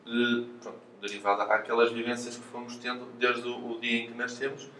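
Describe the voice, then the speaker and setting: Halting, even-toned Portuguese man in an echoey room. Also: mid-range voice. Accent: European Portuguese. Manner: hesitant, halting, explanatory delivery with repeated words. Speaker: physiotherapist being interviewed. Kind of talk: informal explanatory interview. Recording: roomy echo, distant mic, faint noise.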